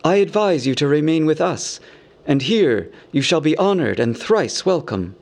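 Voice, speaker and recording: A man's voice: fairly high pitch, animated, normal speed, vocal fry, darkish tone, pitched above the performer's own voice. A man voicing a dignified, wise male Elven King in a storybook, speaking in a stately, formal way. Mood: solemn, admiring. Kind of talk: storybook character voice.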